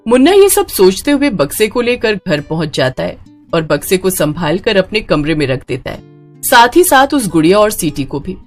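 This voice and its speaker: A woman's voice: on the low side, big pitch swings, normal speed, soft background music. A woman storyteller giving dramatic scripted narration of a horror tale.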